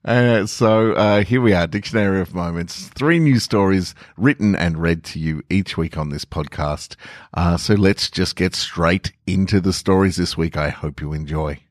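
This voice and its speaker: A man hosting a podcast, giving a relaxed, chatty introduction with plenty of filler words before he reads his stories. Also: sits low, moderate pace, no echo, clean studio sound. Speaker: podcast host and story reader.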